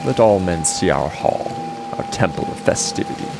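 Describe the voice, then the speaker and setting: Deep gravelly vengeful king, played by a man. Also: expressive, normal speed. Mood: cold anticipation. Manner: grandiose, theatrical, gloating. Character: vengeful gloating king savoring his brother's ruin. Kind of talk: classical tragedy monologue. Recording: music under the voice.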